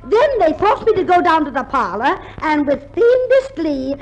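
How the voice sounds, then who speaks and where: A woman's voice: very high-pitched, sing-song, moderate pace, muffled recording. An actress playing an indignant, stubborn, scatterbrained woman witness in a 1950s radio comedy. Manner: huffy, contrary. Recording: noisy 1950s radio recording.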